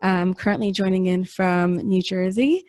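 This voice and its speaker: A woman's voice: mid-range voice, normal speed, a little mellow, dry recording, clean studio sound. A woman from Israel and the United States, a singer giving a casual, chatty spoken introduction from home on an online call. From Israel and the United States, raised in both. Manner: chatty, informal.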